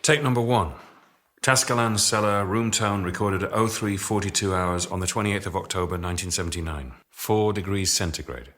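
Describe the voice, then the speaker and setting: A man's voice: deep voice, brisk, a bit bright. A man acting in a radio drama as a sardonic, grumbling audio scientist with a dry wit. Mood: methodical. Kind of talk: naturalistic radio drama dialogue.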